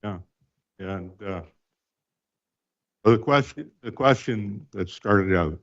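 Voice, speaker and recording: A man speaking as a city councillor at a meeting, slow and deliberate. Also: fairly low pitch. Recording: distant, dull mic.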